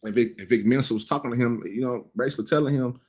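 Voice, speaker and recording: A man's voice: mid-range voice, fast talker, a little mellow. A man in his thirties giving casual cultural commentary, blunt and profane, rambling with frequent restarts. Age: 30s.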